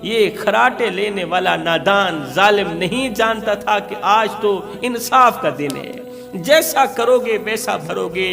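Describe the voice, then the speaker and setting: A man's voice: sits high, slow pace, gravelly. A man delivering an impassioned sermon as a dramatic story, pleading and repeating phrases for emphasis as a preacher. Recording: long reverb, music behind.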